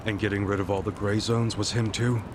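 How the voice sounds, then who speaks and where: An actor playing a blunt, mocking, streetwise gangster questioning someone in a video game cutscene. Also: male voice, lowish voice, flat delivery, a little fast, noisy background, natural voice. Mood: mocking, probing. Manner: blunt, sarcastic, needling. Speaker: character in a crime drama video game.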